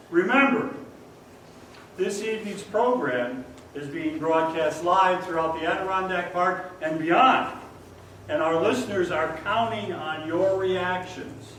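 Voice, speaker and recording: Man performing a theatrical 1940s radio host, upbeat delivery, high male pitch, distant from mic, faint noise. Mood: grand, welcoming. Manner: theatrical, showy, addressing the audience. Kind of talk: 1940s radio announcing on stage. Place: stage of a performing arts hall. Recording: echoey hall from far off, faint noise.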